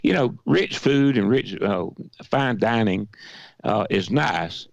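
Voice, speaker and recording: A hoarse man with a Southern accent and a gravelly, weakened voice, chatting in a folksy, halting way as a radio co-host. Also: fairly low pitch, animated, no echo, dull audio. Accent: Southern American. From Georgia. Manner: folksy, halting with many restarts. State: hoarse, weak voice. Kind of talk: casual radio chat.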